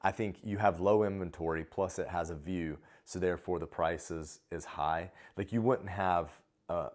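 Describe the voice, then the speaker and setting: A young man co-hosting an expat travel vlog, talking in a relaxed, rambling way with fillers and restarts. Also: sits low, moderate pace. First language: English. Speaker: co-host of expat travel channel. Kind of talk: casual conversational chat.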